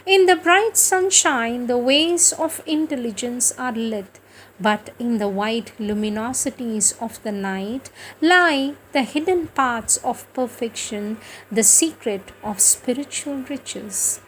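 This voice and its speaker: A woman reciting spiritual prose devotionally, in a solemn, declamatory manner with measured phrasing and pauses. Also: a little high, fairly bright tone, slight background noise, rough recording. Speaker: reader of spiritual writings.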